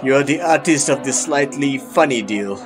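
A man voicing a gruff, grunting bartender in an audiobook, speaking higher and louder than his narration. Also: expressive, normal speed, over music, a crowd in the background, close to an everyday voice. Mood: mocking. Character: grunting ugly male bartender with hoarse voice.

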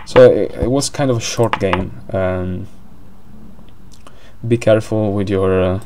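A man's voice: sits low, unhurried, background noise. A man with an Italian accent commentating a board game live, hesitant, with many fillers and restarts.

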